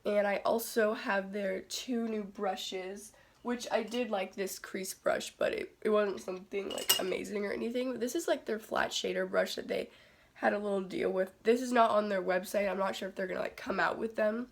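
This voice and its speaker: Congested, raspy teenage girl vlogging. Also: mid-range voice, steady tone, normal speed. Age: teens. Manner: chatty, rambling, heavy on "like" and "um". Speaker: beauty vlogger. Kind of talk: casual chat to camera. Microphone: phone camera.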